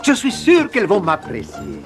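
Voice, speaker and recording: An actor voicing an excitable, scatterbrained male explorer who thinks himself a genius, in a theatrical cartoon manner. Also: medium pitch, moderate pace, faint music behind. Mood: excited, scheming. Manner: theatrical, swooping from muttering to exclaiming. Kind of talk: animated cartoon dub.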